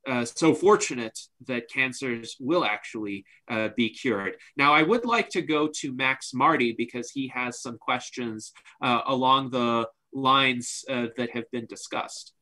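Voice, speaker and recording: Man in his thirties, formal measured moderator, bright forceful tone, webcam call. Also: mid-range voice, low-quality recording. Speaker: host and moderator. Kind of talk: moderating a panel discussion. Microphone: webcam on video call.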